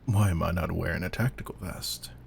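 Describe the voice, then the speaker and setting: A man playing a veteran vampire hunter in a slow-burn audio roleplay, intense and commanding, with deliberate, often breathy delivery and a gravelly voice. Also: low-pitched, slight background noise. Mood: calm, commanding.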